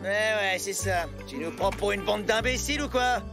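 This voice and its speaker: A man voicing a gruff, hostile village hunter in a French animated cartoon, brusque and scornful. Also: high voice, moderate pace. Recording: loud music behind the voice.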